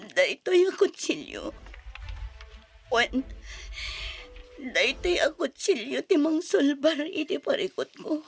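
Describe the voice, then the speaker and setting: A woman's voice: high-pitched, very animated, slow, vocal fry, long pauses, soft background music, full character voice. A woman acting an anguished, despairing mother in an Ilocano radio drama, tearful and pleading. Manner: tearful, pleading, emotional delivery.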